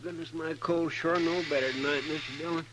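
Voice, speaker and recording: A man's voice: a little high, fairly even intonation, a little fast, heavy background noise, lightly acted. A man acting a folksy, deferential deputy in a 1950s radio western, with a drawling rural Western American accent. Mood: self-pitying. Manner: drawling, homespun. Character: folksy, deferential, complaining deputy in old West. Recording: 1950s radio recording, loud music.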